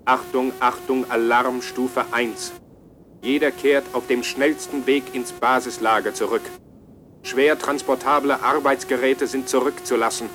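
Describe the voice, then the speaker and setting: An actor playing a commanding base-camp announcer, formal and clipped, in a science-fiction radio play. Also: male voice, mid-range voice, fairly even intonation, unhurried, over music, close to an everyday voice. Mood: urgent, official.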